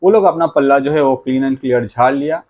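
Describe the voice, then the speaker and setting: A man's voice: mid-range voice, moderate pace, darkish tone. A man, explaining news to camera in an explanatory way, mixing in English phrases. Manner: explanatory, repetitive catchphrases with English phrases mixed in. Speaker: video creator, host. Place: small plain indoor room. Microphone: lapel mic.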